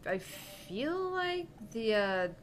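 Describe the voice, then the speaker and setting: A woman's voice: fairly high pitch, big pitch swings, drawn-out words, slight background noise. A young woman hosting a visual novel let's play, reading dialogue aloud and chatting in a casual, joking way. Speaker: gaming channel host.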